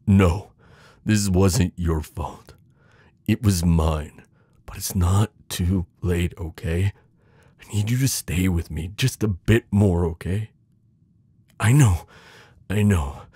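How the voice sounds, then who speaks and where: Man acting as a deep-voiced, devoted knight. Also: husky, no room sound, full character voice. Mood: desperate, pleading. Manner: urgent, pleading, hushed reassurance. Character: devoted protective male knight, desperate, tender lover. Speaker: audio roleplay performer. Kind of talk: intimate ASMR romance roleplay acting.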